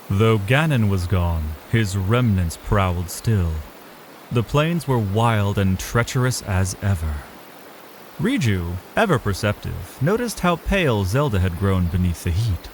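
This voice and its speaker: A man's voice: on the low side, a little hiss or hum. A man giving dramatic, scripted narration for a fantasy audio drama as its storyteller. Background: music.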